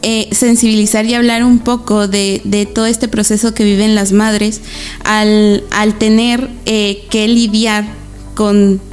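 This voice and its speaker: A woman's voice: mid-range voice, flat delivery, slow pace, darkish tone, faint music behind. A woman, a guest in a radio interview, speaking hesitantly with many fillers and easy laughs. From Guatemala. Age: 20s. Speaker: interview guest, rural social worker.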